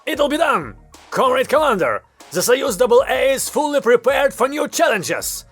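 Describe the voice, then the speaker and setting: A man's voice: very high-pitched, big pitch swings, moderate pace, a bit bright, faint music behind, voice pushed up, exaggerated voice. A man voicing an eager, easily flustered female Soviet officer who reports to her commander with clipped military deference in an audio story. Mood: brisk, dutiful.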